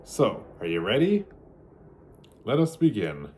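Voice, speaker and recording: A man reads a picture book aloud for children at a very slow pace. His intonation is lively and varied, and his delivery is deliberate and halting, with dramatic pauses. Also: lowish voice, slight background noise. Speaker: read-aloud storyteller for children. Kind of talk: storybook narration for kids.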